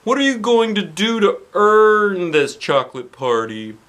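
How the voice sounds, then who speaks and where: A man voicing an emphatic, commanding male school principal in a children's storybook, booming and declamatory. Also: lively intonation, a little slow, fairly bright tone.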